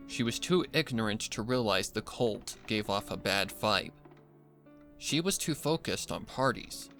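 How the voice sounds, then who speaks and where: A man reading a dark horror story as a dramatic scripted narration. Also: medium pitch. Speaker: fan fiction narrator.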